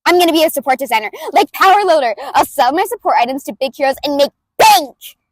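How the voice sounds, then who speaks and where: A woman voicing a brash, excitable teenage girl inventor in an audiobook, with a loud, harsh voice pushed from the back of the throat. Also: high voice, fast talker, no room sound. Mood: excited. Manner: exuberant, forceful, bubbly. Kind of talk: audiobook character voice.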